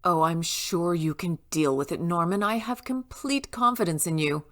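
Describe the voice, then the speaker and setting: An actress playing a smooth-talking, confident and secretive female villain boss in an audio drama. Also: fairly low pitch, moderate pace, a bit bright, close to an everyday voice. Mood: assured, secretive. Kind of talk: naturalistic audio drama acting.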